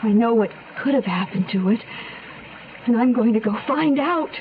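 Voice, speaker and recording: An actress playing a suspicious, anxious, determined young granddaughter in a radio drama. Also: female voice, a little high, lively intonation, moderate pace, music in the background, close to an everyday voice. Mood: alarmed, resolute. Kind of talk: 1970s radio drama acting. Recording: tinny, band-limited old radio recording, hissy.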